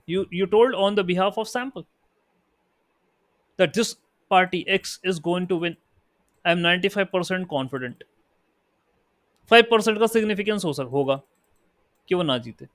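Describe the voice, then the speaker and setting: A fairly high-pitched man with an Indian accent gives a lesson, moving between Hindi explanation and slow, careful English definitions. His delivery is unhurried and deliberate. The recording is dry and close, with little room sound. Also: dry recording. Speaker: teacher. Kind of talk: lecture.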